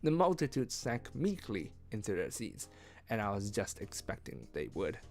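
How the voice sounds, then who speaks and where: A man reading a story aloud as host of a read-along, with expressive narration and casual asides. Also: on the low side, moderate pace, vocal fry. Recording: music behind.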